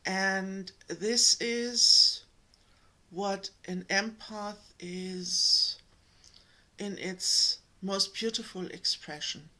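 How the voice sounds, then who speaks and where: A woman from Germany with a German accent, giving a slow, gentle spiritual talk to her viewers, pausing often. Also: mid-range voice. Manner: slow, gentle, with many pauses and ums. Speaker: spiritual coach.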